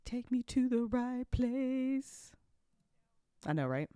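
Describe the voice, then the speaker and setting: Woman hosting a live stream, super informal, smooth clear voice, repeats herself a lot. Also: fairly high pitch, lively intonation, slow pace, warm tone, no room sound, clean studio sound. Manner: chatty, informal, swearing, repeats phrases for emphasis. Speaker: host of an audio drama after show. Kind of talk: casual live stream chat. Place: close indoor mic on a live stream.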